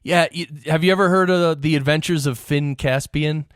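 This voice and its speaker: A man's voice: on the high side, fairly even intonation, normal speed, clean tone, no room sound, clean studio sound. A man hosting an interview show, chatting in an informal, rambling, conversational way. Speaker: podcast host. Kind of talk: casual interview chat.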